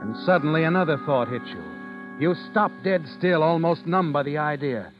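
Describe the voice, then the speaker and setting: An actor playing a knowing, taunting narrator in an old radio mystery, speaking dramatically to the schemer. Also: male voice, a little high, moderate pace, natural voice. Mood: ominous. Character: insinuating, omniscient male narrator addressing the schemer. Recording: muffled old radio recording. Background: dramatic music.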